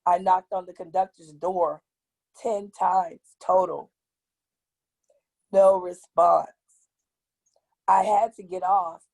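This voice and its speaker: A woman with a raspy, hoarse voice telling a personal account on an online panel, halting and pausing often. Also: medium pitch, darkish tone, rough recording. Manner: slow, halting, with long pauses. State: hoarse from tear gas. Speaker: activist guest. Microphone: video call.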